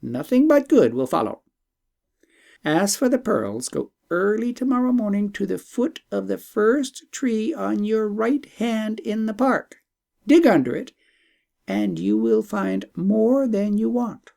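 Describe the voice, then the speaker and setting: Man voicing a high-pitched, slow-talking wise bird. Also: very animated, creaky, dark tone, exaggerated voice. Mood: reassuring. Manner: slow, measured, instructive delivery. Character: calm, assured magical talking bird advising its mistress. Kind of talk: audiobook storytelling.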